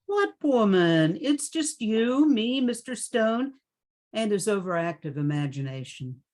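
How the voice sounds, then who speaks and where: A skeptical woman who takes charge, played by a woman in a radio play. She is brisk and dismissive, with dry delivery and lively swings in pitch.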